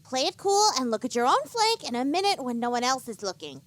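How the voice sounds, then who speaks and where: A woman's voice: high voice, big pitch swings, fairly quick, dry recording. A woman voicing a coolly advising imaginary pony in an audio drama. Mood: cool, sly. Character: pink pony living in the heroine's head, coolly advising. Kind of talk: audio drama character acting.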